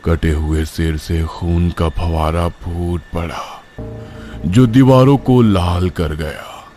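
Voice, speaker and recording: A man's voice: low voice, takes it slowly, dark tone, soft background music. A man narrating a scripted horror story as its storyteller.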